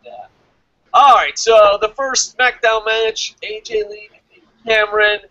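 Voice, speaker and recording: A man giving excitable, casual fan commentary as co-host of a review show, rambling and repeating himself. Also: high-pitched, takes it slowly, no echo, lo-fi sound.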